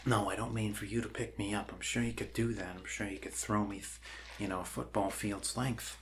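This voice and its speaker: Man acting as friendly male doctor, American accent, low soft voice, rambling, reassuring, dryly jokey, ASMR roleplay, noisy background. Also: fairly even intonation, fairly quick, lightly acted. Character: friendly, rambling human doctor welcoming an alien to Earth.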